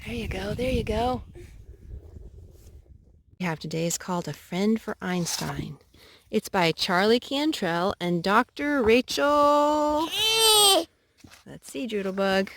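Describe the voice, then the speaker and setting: Female, mid-pitched, expressive mother reading and singing for little children. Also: slow pace, pauses often, a little hiss or hum. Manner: expressive, speaking to small children with pet names. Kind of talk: sing-song children's storytime with read-aloud and songs.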